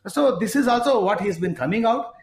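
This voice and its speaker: Halting Indian man in an echoey room. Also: high voice, lively intonation, a little hiss or hum. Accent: Indian English. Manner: halting, many fillers, rising emphasis. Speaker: talk show host, sports psychology coach. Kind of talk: conversational interview talk. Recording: roomy echo.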